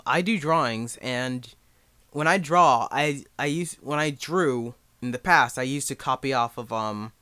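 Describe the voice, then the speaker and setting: A young man giving a casual, unscripted tutorial in a rambling, halting, self-deprecating way. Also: medium pitch, sharp tone, smooth, no echo. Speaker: amateur voice actor giving advice.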